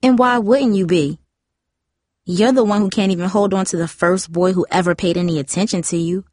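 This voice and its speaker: A woman's voice: fairly low pitch, fairly even intonation, fast, darkish tone, dry recording, studio quality, lightly acted. A woman voicing a catty, mocking teenage girl in an audiobook, with a snide, sing-song manner.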